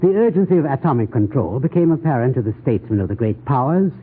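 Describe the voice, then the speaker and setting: A tinny vintage radio recording carries a man with a roughened, husky voice. He delivers formal 1940s news analysis with grave oratory and a widely moving pitch. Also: animated, fast.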